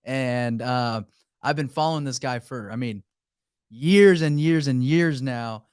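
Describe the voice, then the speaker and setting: Man, interview host, enthusiastic, casual slangy bro talk, average pitch, dry close sound. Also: unhurried, a little mellow, clear, resonant tone, no echo.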